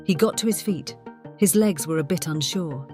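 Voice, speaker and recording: A woman narrates fiction in a dramatic audiobook style. Her voice sits somewhat low. Music plays clearly beneath the narration. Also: moderate pace, dark tone.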